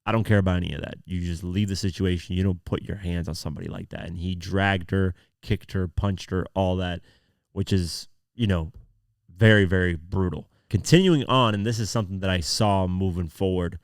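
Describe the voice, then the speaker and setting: A man's voice: deep voice, fairly even intonation, fairly quick, no echo, clean studio sound. A man hosting a podcast, giving casual, conversational news commentary with a voice slightly off from a sore throat. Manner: informal, conversational, reads articles aloud then comments. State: sore throat, voice not at full strength. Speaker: podcast host.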